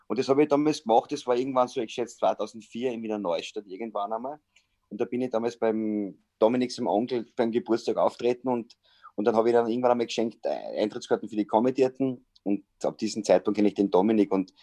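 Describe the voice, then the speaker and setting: A middle-aged Austrian man speaks in a low, mellow voice on a dry, close video call. He tells a story easily and keeps pausing to hesitate. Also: dry recording. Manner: chatty storyteller with frequent hesitations. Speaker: sports journalist and comedian. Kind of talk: casual interview over video call.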